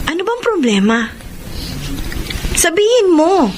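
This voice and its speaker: A woman's voice: very high voice, big pitch swings, slow, slight background noise, full character voice. A woman acting in a Filipino radio drama as an ambitious young married woman who turns defensive and pleading. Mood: worried, probing. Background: dramatic music.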